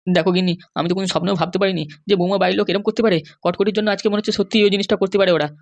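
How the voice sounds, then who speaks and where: A performer doing a middle-aged Bengali husband from a comedy cartoon, talking extremely fast in a close, dry recording. He placates and complains by turns, worried but always giving in. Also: mid-range voice, level tone, creaky, no room sound, natural voice. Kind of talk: animated comedy cartoon dialogue.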